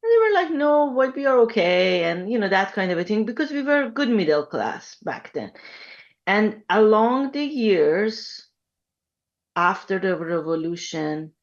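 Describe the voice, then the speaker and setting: A woman in her fifties from Iran, speaking as an interview guest, reflective and thoughtful, pausing often with ums. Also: mid-range voice, sing-song, dull audio. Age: 50s. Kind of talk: conversational interview.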